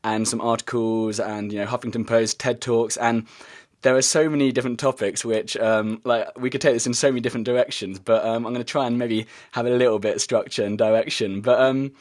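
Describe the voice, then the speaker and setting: A man hosting a casual interview, speaking excitedly in a hesitant, rambling way with stumbled sentences. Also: mid-range voice, fairly quick. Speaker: podcast host interviewer.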